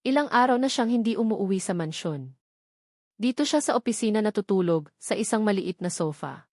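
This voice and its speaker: A woman's voice: mid-range voice, lively intonation, fairly quick, a little mellow, no room sound, clean studio sound. A woman narrating a romantic drama story in a scripted, dramatic storytelling style.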